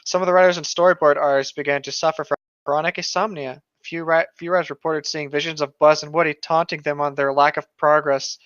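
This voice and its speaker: Bright sharp voice reading stories n chatting, kinda lo-fi mic, no echo. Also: mid-range voice, steady tone, a little fast, few pauses. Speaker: podcast co-host reading internet horror stories. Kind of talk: reading aloud with casual reactions.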